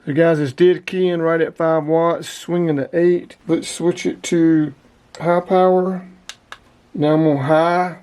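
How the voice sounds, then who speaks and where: A man's voice: on the high side, level tone, takes it slowly, darkish tone, clean tone. A man giving a casual, plain-spoken product review as the host, talking informally to his viewers. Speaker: channel host reviewing radio gear.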